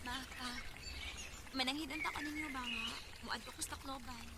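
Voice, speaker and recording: An actress plays an eager young Filipino daughter with a Bisaya accent, chatty and sweetly pleading. Her voice is very high. It is a radio drama recording with heavy ambient noise and birds. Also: fairly even intonation, a little fast, lightly acted. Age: young adult.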